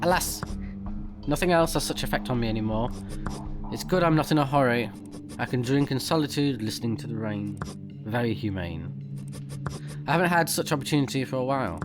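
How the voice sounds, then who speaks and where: A man doing casual let's play commentary, blunt, sweary and expressive, sometimes reading game text aloud. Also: medium pitch, a little fast, long pauses. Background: game music.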